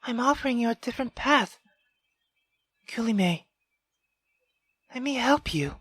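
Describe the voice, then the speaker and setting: A man voicing an earnest young woman in a visual novel, gentle and imploring. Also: very high-pitched, lively intonation, measured pace, husky, no echo, studio quality, voice pushed up, exaggerated voice. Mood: pleading.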